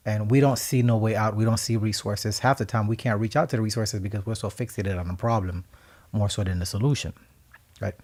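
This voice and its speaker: A Haitian man co-hosting a radio talk show, speaking reflectively and with emphasis, sometimes becoming animated and laughing as he tells stories. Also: on the low side. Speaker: co-host.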